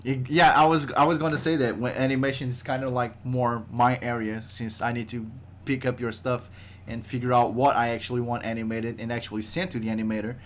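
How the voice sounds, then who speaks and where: A man's voice: medium pitch, level tone, a little fast, a little hiss or hum. Groggy, joking male podcast host. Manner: joking, loose, swears casually. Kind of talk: casual livestream chat.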